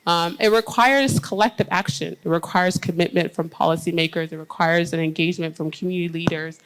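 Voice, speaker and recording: American woman on a conference panel, kinda low voice, earnest, lots of ums. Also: brisk, slight background noise, rough recording. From United States. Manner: earnest, steady, with frequent "um" fillers. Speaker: panel speaker, education leader.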